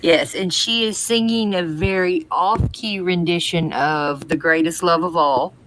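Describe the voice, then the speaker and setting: A woman's voice: fairly low pitch, a little slow, fairly bright tone, a little hiss or hum, rough recording. A woman co-hosting a podcast, giving a casual episode recap in a conversational way. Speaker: podcast co-host.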